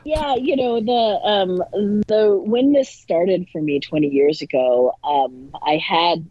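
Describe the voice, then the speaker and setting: Woman guest on an interview, bookish but chatty, bit raspy, dull dry audio. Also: fairly low pitch, slow. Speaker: guest author. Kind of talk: interview conversation on spiritual folklore.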